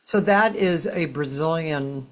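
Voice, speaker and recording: A woman hosting a casual online chat, unhurried and chatty, laughing easily. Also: low voice. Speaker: host.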